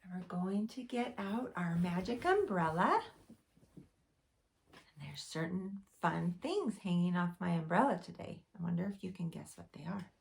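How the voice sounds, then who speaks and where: A young woman teaching preschool, reading a picture book aloud to children in a lively storytime voice. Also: unhurried, vocal fry. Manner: animated storytime voice with big pitch swings.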